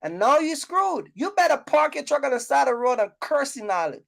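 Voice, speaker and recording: Woman, fairly high voice, casual rant, blunt, scolding, emphatic repetition, vlog host. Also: big pitch swings, normal speed, vocal fry. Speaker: YouTube host giving trucking business advice. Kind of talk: casual unscripted rant to viewers.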